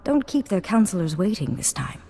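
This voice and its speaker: A woman's voice: lowish voice, very animated, normal speed, gravelly, warm tone, breathy edge, exaggerated voice. An actress playing a proper young noblewoman in a video game, speaking in a formal, refined manner. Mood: poised. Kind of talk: video game cutscene acting.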